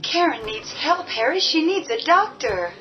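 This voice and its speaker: A weary, scornful wife. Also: female voice, sits high, big pitch swings, normal speed. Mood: pleading. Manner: worn out, scornful. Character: weary long-suffering mother in her thirties. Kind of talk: radio drama acting. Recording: dull lo-fi audio with music.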